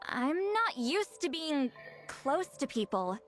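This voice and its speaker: A woman voicing a gruff, lonely sorceress who has lived for centuries, talking slowly with sighs, in a video game. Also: high voice, big pitch swings, exaggerated voice. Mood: wistful. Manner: slow, sighing, reflective. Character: ancient young-looking sorceress, gruff and lonely. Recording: game audio with faint background music.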